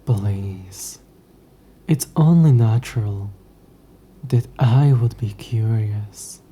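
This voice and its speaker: An ancient demon, acted by a man, speaks very slowly in a deep-shadowed, husky murmur. He is possessive, sardonic and teasing, with formal, wry phrasing, as in an intimate audio roleplay. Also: mellow.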